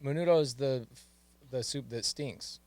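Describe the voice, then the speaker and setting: A man hosting a podcast in casual interview chat, blunt and joking with quick interjections. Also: medium pitch, a little mellow, no echo. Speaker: podcast host.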